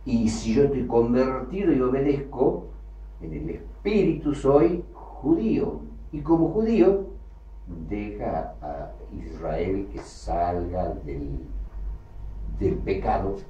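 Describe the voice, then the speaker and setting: A man preaching a sermon, emphatic, with loud bursts that drop low again. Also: animated, slow pace, muffled recording, slight background noise. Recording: echoey hall, from far off, faint ambience.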